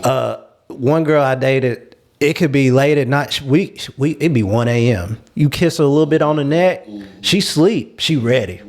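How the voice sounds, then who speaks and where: A man's voice: mid-range voice, normal speed, darkish tone. A man on a talk show panel, agreeing readily and explaining his points at length in a candid discussion.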